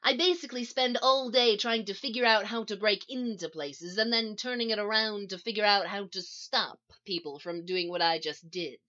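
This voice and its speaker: A woman's voice: medium pitch, normal speed, few pauses, pushed and bright, dull audio, natural voice. A woman reading as a mild, tired male supervillain in a story, matter-of-fact and subdued.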